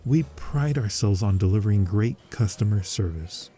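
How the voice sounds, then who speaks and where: A man's voice: on the low side, animated, normal speed, mellow, natural voice. A man playing a fawning, suspicious restaurant manager in an ASMR roleplay, formal and unctuously polite. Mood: placating. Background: music.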